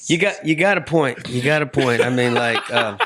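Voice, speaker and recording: A man hosting a casual interview, talking loosely and working his way haltingly into each question. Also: mid-range voice, a loud crowd. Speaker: podcast host.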